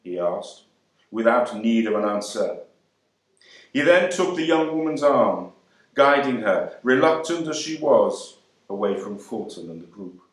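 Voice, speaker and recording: An actor brings to life a proud young airman with a wry, measured way of talking. He speaks slowly, with deliberate pauses, at an ordinary mid pitch. The voice rings out in a very echoey room. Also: lively intonation, natural voice. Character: young Jamaican man serving in the air force, proud and wry. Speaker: actor reading a monologue. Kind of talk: dramatic monologue reading.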